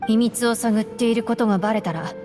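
A woman voicing a gentle, perceptive young right-hand companion in a video game, calm and reflective. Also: fairly high pitch, fairly quick, faint music behind, natural voice. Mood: cautioning.

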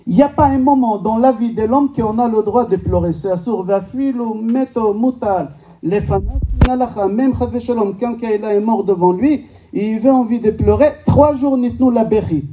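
A man's voice: high-pitched, normal speed, mellow, far from the mic, highs cut off, a little hiss or hum. A male rabbi, a native Hebrew speaker, giving an informal lecture with rhetorical questions, emphatic repetition and joking asides. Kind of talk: informal religious lecture to a live audience. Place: medium-sized indoor synagogue function room.

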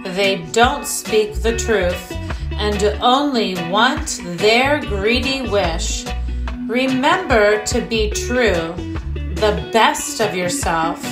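A woman music teacher reading a rhyming children's picture book aloud in a measured, deliberate way. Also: medium pitch.

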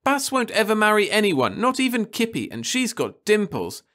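A man's voice: high voice, huge pitch swings, normal speed, fairly bright tone, voice pushed up, exaggerated voice. A man voicing a sulky, whiny nineteen-year-old girl who wails and pleads. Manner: petulant, wailing.

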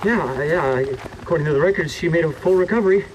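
Man playing high-voiced, jittery government man. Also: noisy background, close to an everyday voice. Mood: reluctant. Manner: halting, stammering, repeats himself. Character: jittery, guilt-ridden government researcher, defensive survivor. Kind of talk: naturalistic video game acting.

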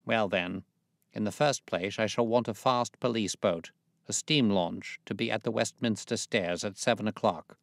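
A man voicing a self-assured, commanding gentleman detective, articulate and authoritative, in an audiobook. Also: on the low side, moderate pace, creaky, dry recording, clean studio sound, pitched above the performer's own voice.

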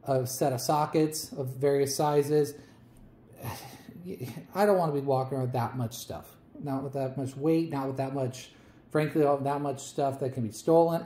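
A man with a mellow, dark voice talks through his gear in a loose, chatty vlog manner, filling pauses with ums and uhs. The sound is roomy, with a clear echo around him. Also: a little high. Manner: relaxed, chatty, many fillers. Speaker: vlogger. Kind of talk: casual show-and-tell vlog.

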